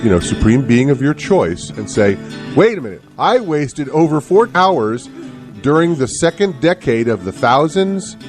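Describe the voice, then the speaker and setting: A man co-hosting a casual radio show, asking questions and bantering in a wry, joking way. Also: medium pitch. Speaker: radio show co-host, interviewer.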